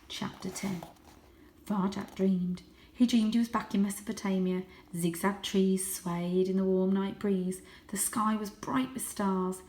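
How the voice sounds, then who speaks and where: A woman reads a children's story aloud. Her pitch is average and her delivery is expressive and dramatic. The recording is roomy, with a noticeable echo. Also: a little hiss or hum. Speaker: storybook reader, teacher reading to children. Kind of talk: children's audiobook narration. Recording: roomy, echoing indoor sound.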